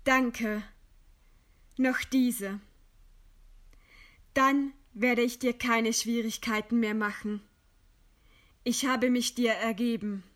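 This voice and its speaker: Weary woman awaiting execution: bitter irony, slow theatrical radio play delivery, somewhat high pitch. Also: fairly even intonation, fairly bright tone. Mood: weary, resigned. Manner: deliberate, dramatic delivery with dry sarcasm. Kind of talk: German radio play acting.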